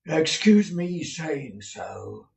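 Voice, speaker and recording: A bombastic middle-aged theatre manager, as a man puts him on, who exclaims in a high, swooping voice. He sounds loud and theatrical, with a roomy echo around him. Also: big pitch swings, drawn-out words, vocal fry, far from the mic, heavily acted. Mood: confiding.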